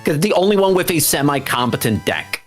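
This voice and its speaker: A man speedrunner chatting casually as live commentary, halting and often repeating his words. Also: a little high, very animated, soft background music. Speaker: speedrunner in the race.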